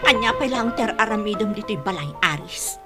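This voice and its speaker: A woman's voice: mid-range voice, animated, a little fast, over loud music, natural voice. An actress with an Ilocano accent playing a hurt, furious young woman betrayed by her friend in a radio drama, accusing and tearful. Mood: bitter, wounded. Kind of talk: Filipino radio drama acting.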